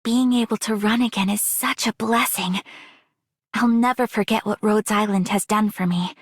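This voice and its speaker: An actress playing an earnest, self-doubting, grateful young helper in a game, with a breathy voice. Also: female voice, medium pitch, steady tone, normal speed, dry recording, clean studio sound, close to an everyday voice. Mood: earnest, humble. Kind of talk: scripted game character monologue.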